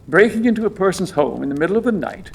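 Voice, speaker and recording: A man acting a brisk, authoritative police inspector with a polite but admonishing manner in an audio drama. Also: high voice, lively intonation, vocal fry, slight background noise.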